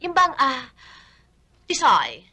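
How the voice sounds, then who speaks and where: A woman's voice: high voice, wildly swooping pitch, slow, sharp tone, full character voice. An actress playing a vain, flirty, dramatic woman in a sitcom, with theatrical delivery and English mixed into her lines. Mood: coy. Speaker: comic lead in a sitcom. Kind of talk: broadcast sitcom acting.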